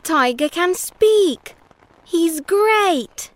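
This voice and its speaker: A woman voicing a friendly child from abroad in a scripted textbook dialogue, with a clear, slow, careful delivery. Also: very high voice, lively intonation, no room sound, heavily acted.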